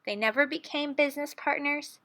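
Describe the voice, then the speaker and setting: A woman storyteller reading a children's picture book aloud in an expressive, dramatic way. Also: sits high, brisk.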